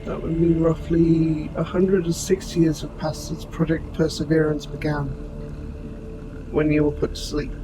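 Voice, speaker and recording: A man playing a gentle, protective robot soldier in an audio roleplay, speaking to the listener in formal, precise, measured wording. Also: on the high side, monotone, lightly acted, rough recording. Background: music.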